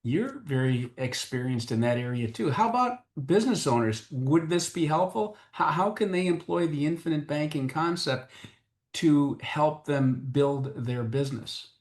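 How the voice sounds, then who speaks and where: A man's voice: a little high, expressive, moderate pace. A man hosting a conversational interview, putting questions to a guest. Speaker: interview host. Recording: echoey, roomy sound.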